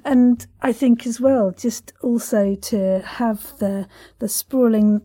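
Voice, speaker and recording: A woman's voice: on the high side, slow pace, dark tone. A woman hosting a casual podcast interview, chatty and effusive, with playful turns of phrase.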